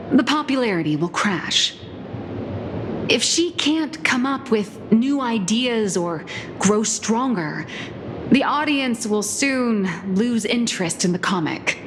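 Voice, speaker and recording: Slow, theatrical female comic artist. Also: mid-range voice. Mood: musing, measured. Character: whimsical, scheming female comic artist musing on fame. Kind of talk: video game character acting. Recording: background music behind the voice.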